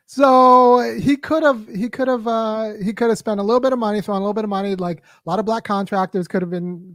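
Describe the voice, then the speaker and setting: Woman host chatting politics, lively, laughs a lot, dead dry sound. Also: mid-range voice, no echo. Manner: animated, laughing, acts out quotes. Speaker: podcast host. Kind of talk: casual political chat.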